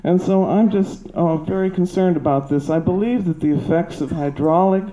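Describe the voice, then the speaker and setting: An older woman with a soft voice giving an earnest, halting public comment at a podium into a handheld mic. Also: lowish voice, a little hiss or hum, rough recording. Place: meeting hall at a podium. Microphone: handheld microphone.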